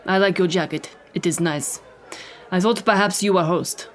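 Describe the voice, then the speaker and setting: A man's voice: high-pitched, fairly bright tone, voice pushed up. Man putting on a haughty Russian princess. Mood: imperious. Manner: clipped, commanding, slow and haughty. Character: haughty cold Russian princess, woman, imperious.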